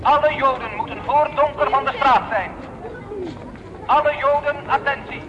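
Very high-pitched military announcer. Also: male voice, moderate pace, dull audio. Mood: stern. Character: stern military announcer barking curfew orders. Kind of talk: radio drama public announcement.

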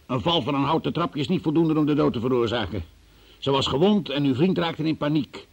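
Husky, insistent police investigator. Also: male voice, on the high side, brisk, lo-fi sound. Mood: accusing, forceful. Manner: formal, insistent, theatrical. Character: probing, forceful male police investigator interrogating a suspect. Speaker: actor in a radio play. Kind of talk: Dutch radio drama acting.

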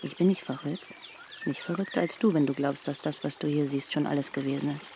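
An actress playing a provocative, knowing woman, a commune founder, in a radio drama, sly and insistent with a creaky voice. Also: sits low, fairly even intonation, brisk, noisy background. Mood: intense, ominous. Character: Lou, provocative, knowing female founder returned from exile. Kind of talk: naturalistic radio drama acting.

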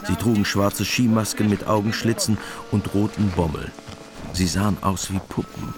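A man's voice: lowish voice, steady tone, moderate pace. A man narrating a scripted radio drama as its storyteller. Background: music.

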